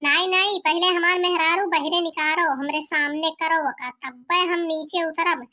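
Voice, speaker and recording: A man's voice: extremely high pitch, fairly even intonation, moderate pace, sharp tone, heavily acted. A man voicing a distraught, stubborn young village husband in a cartoon comedy, whining defiantly with an eastern Uttar Pradesh accent. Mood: stubborn, aggrieved.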